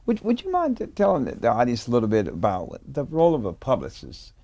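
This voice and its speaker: A man's voice: mid-range voice, huge pitch swings, quick pace, mellow, dry recording, highs cut off. A man hosting an interview show, eager, interjecting and stumbling over his words. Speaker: talk show host. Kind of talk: interview host.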